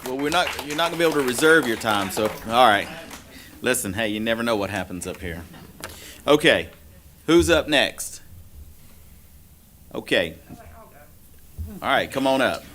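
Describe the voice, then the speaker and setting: A man chairing a public hearing at a city meeting, brisk and procedural as he calls up speakers. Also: medium pitch, expressive, fairly bright tone, a little hiss or hum. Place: city council chamber.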